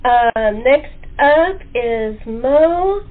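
A woman hosts a relaxed, casual interview. Her voice sits on the high side and she takes her time, chuckling often. The sound is thin and tinny, like a phone line. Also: very slow. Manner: chuckles often while talking. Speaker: host interviewing a radio play cast. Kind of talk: casual chat.

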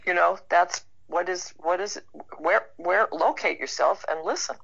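A woman's voice: lowish voice, a little slow, creaky, fairly bright tone, breathy edge. A woman chatting casually and reflectively as an interview guest, informal and plain-spoken. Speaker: interview guest, actor and writer. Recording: dull lo-fi online-call sound.

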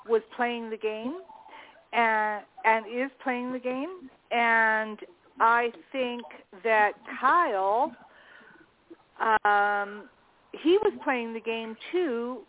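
A woman from Canada talking in a casual group podcast chat, hesitant with many ums and restarts. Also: on the high side. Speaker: podcast panelist. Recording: phone-like call audio.

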